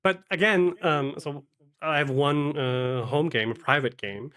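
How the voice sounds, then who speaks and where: A man's voice: on the high side, unhurried, a bit bright. A man in a casual interview as a guest, choosing his words with care and some hesitation. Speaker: podcast guest, game master.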